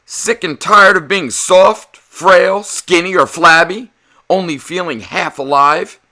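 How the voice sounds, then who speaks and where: A man voicing a forceful, motivating strongman fitness pitchman from a storybook, in a punchy, commanding manner. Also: slow, heavily acted. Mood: challenging pitch.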